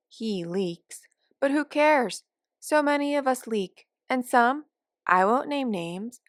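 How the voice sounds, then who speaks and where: Here is a woman performing a forgetful elderly woman in a care home, telling her own short story. She grumbles and rambles with wry indignation. Her pitch is middling for a woman, and the sound is dry, with no room to it. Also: fairly bright tone, no echo, close to an everyday voice. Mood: wry grumbling, then bitter, indignant. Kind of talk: first-person dramatic monologue of short fiction.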